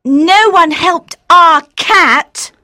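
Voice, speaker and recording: A woman voicing vengeful, unforgiving children in a storybook, with a cold, taunting, deliberate manner. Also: very high voice, bright, forceful tone, no echo, studio quality, heavily acted. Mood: accusing.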